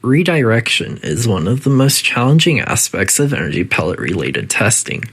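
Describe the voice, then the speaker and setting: A man's voice: medium pitch, sing-song, normal speed, fairly bright tone, husky, exaggerated voice. A man voicing a coolly polite, faintly menacing facility AI that gives formal, detached test-chamber announcements in a video game. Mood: clinical, detached.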